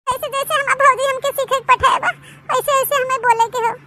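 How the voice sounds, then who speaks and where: A young cartoon bride, extremely high and bright. She is coy and scheming, dragging out her whiny pleas in an Awadhi accent over faint noise. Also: steady tone, brisk. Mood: coy pleading. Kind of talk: Awadhi comedy cartoon dubbing.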